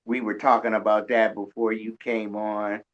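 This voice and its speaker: A man's voice: lowish voice, flat delivery, normal speed, dull audio. A man hosting a radio show, chatting casually in a folksy, colloquial storytelling way. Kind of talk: casual interview chat.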